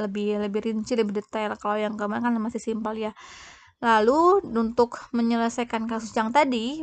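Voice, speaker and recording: A woman giving a semi-formal lecture, explaining step by step with halting self-corrections. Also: a little high, no echo. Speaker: accounting lecturer.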